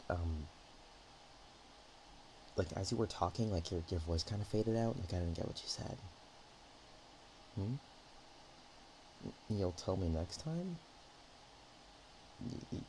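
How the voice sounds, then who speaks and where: Roleplay ASMR dragon, played by a man: naive, affectionate, nervous rambler; low-pitched, relaxed mellow delivery with halting phrasing. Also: a little hiss or hum, poor audio. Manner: hesitant, halting, softly rambling.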